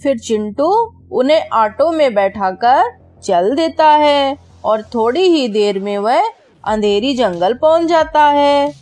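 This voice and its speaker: A woman narrator tells a children's cartoon story in a high voice at a slow, measured pace. The sound is dry, with faint music behind it. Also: lively intonation, darkish tone, dry recording.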